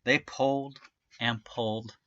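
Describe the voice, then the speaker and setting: A man reading a children's story aloud in an animated way, stretching out key words for emphasis. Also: mid-range voice, slow, dull audio. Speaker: storybook reader.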